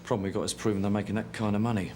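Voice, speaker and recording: An actor playing a brisk, matter-of-fact British police sergeant in a TV police drama. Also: male voice, on the low side, flat delivery, darkish tone, a little hiss or hum, natural voice. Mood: briefing.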